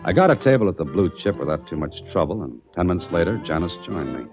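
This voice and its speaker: Casting: a deep, dry-witted detective narrator, old lo-fi radio drama. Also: male voice, very animated, fast talker, music in the background. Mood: cool. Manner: dry, wisecracking. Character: hard-boiled male writer-detective, calm and wry. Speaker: narrator and lead. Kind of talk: 1940s radio drama acting. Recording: dull old radio recording.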